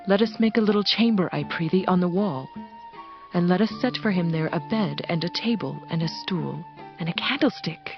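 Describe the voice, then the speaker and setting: A woman's voice: on the low side, expressive, moderate pace, lo-fi sound, faint music behind. An actress playing a devout, dignified married woman of ancient times in a dramatized scripture, with formal, earnest phrasing. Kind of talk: dramatized scripture audio acting.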